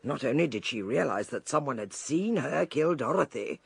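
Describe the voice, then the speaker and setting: An actress playing a shrewd, eccentric older British lady detective in a radio drama, theatrical, deliberate and drily amused. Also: extremely low pitch, lively intonation, gravelly, breathy edge, dry recording, exaggerated voice. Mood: shrewd, explaining.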